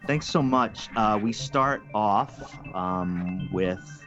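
A deep man's voice hosting an interview podcast. He speaks haltingly, full of fillers and restarts. The sound is dry and muffled, with faint music behind him. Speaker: podcast host.

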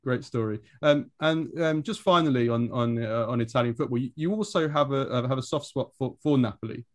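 A man's voice: mid-range voice, brisk. A man hosting a conversational podcast interview, putting his questions in a tentative, hesitant way.

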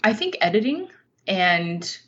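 Woman writer getting interviewed, kinda low voice, takes her time, thoughtful, bit muffled lo-fi sound. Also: big pitch swings, slow pace, fairly bright tone. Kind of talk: reflective interview conversation.

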